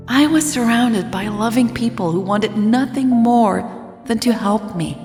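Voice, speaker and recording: A woman playing a gentle, earnest former coma patient making a measured, pleading speech in an audio drama. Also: mid-range voice, lightly acted. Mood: humble, earnest. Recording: echoey reverberant hall, music underneath.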